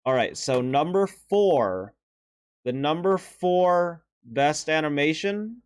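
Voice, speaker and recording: A young man with a deeper voice giving casual, earnest and emotional commentary as he ranks favorite animated shorts, with sighs and restarts. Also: slow pace, no room sound. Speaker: video creator ranking animated shorts.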